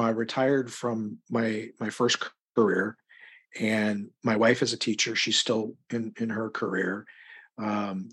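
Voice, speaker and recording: A middle-aged man with a husky voice giving casual interview answers, thinking aloud in rambling, broken phrases. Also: fairly low pitch. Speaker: interview guest, crime novelist.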